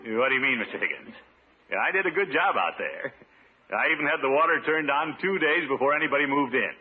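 An actor playing a pompous, worried water commissioner with a hearty, blustery delivery in a 1950s radio comedy. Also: male voice, on the high side, animated, quick pace, natural voice. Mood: anxious, placating. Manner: blustery, eager to please. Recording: hissy, muffled 1950s radio recording.

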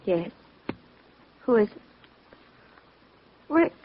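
An actress playing a sedated, distraught young widow, tearful and groggy, in an old radio drama. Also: female voice, medium pitch, sing-song, very slow. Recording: muffled, faintly hissy old radio broadcast.

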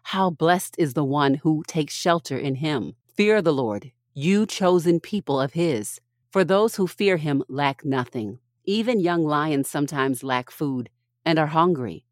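A woman with a low-pitched voice reads scripture as a podcast host. Her delivery is measured and deliberate, phrased in short, clear lines. The sound is dry, with very little room on it. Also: no room sound.

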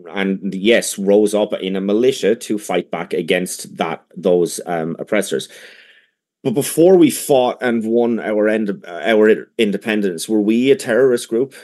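An Irish man giving a debate opening statement, halting with many fillers but impassioned. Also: low voice, darkish tone. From Ireland. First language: English.

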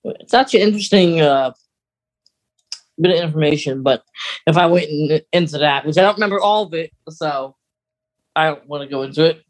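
A man co-hosting a casual fan discussion podcast, explaining details in a halting way. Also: a little high, low-quality recording. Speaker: podcast co-host.

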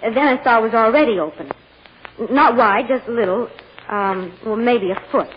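A woman's voice: fairly high pitch, moderate pace, natural voice. A woman playing a plain-spoken, fussy chambermaid giving hesitant, literal-minded testimony in 1940s radio drama style. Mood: earnest, hesitant. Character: plain-spoken, fussy working-class chambermaid on the witness stand. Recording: dull, noisy old-time radio recording.

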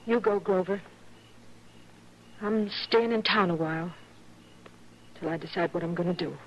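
An actress playing a hurt but resolute frontier wife in a 1950s radio western. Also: female voice, on the low side, moderate pace, close to an everyday voice. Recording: dull, noisy old radio recording.

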